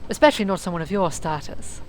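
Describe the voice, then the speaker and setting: A woman playing a formal, condescending mother and high-status seer in a fantasy audio drama. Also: lively intonation, fast, a little hiss or hum, lightly acted. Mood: haughty, chiding.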